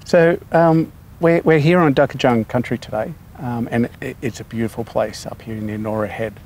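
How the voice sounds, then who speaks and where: A man's voice: mid-range voice, fairly even intonation, normal speed, a little mellow, slight background noise. Australian man hosting a friendly interview. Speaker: interview host. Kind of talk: casual interview.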